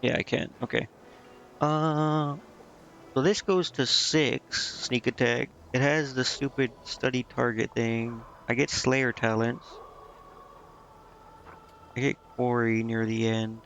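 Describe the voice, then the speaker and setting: A man's voice: medium pitch, level tone, takes it slowly, pauses often, fairly bright tone, slight background noise. A man doing casual video game commentary as a streamer, thinking aloud in a rambling, hesitant way. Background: game music.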